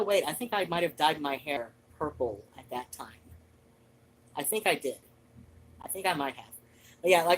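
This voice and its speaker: Casting: woman with a low voice for loose, animated, off-the-cuff host chat, sweary and wandering. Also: a little hiss or hum, poor audio. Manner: animated, digressive, swears freely. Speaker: host of a reading and chat show. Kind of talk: rambling casual personal chat.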